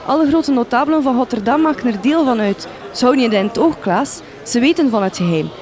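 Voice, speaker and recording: A woman's voice: on the high side, expressive, quick pace, a little mellow, close to an everyday voice. An actress with a Flemish accent playing an anxious young village woman in a gothic comedy radio drama. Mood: urgent warning. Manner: urgent, pleading.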